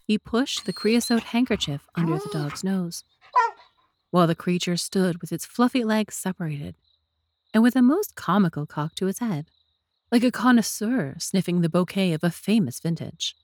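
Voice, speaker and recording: A woman's voice: lowish voice, animated, normal speed, a little mellow, no room sound, clean studio sound. A woman reading scripted story narration as the narrator of a dramatised audiobook.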